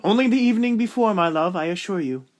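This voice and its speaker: A man's voice: high-pitched, fast, close to an everyday voice. A man playing a courteous, devoted young lieutenant who speaks in formal, flowery phrases in an amateur period audio drama. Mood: tender.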